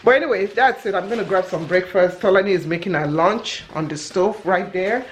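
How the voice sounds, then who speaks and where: A woman in her forties talks straight to a camera held at arm's length in her kitchen. Her pitch sits in a middle range. She is chatty and corrects herself as she goes, and a faint hiss runs under her voice. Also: brisk. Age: 40s. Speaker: vlogger. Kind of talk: casual talking-to-camera vlog. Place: home kitchen, indoors.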